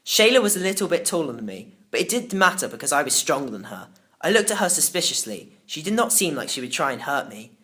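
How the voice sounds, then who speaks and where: Animated male children's story narrator. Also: fairly high pitch, fast. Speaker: audiobook narrator. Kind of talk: dramatic first-person narration of a children's novel.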